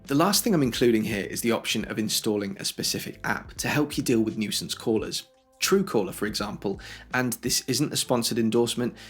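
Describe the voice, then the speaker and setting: A man's voice: on the low side, slightly rough. A man hosting a tech tutorial, explaining things step by step in a conversational way. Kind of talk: instructional how-to narration for video.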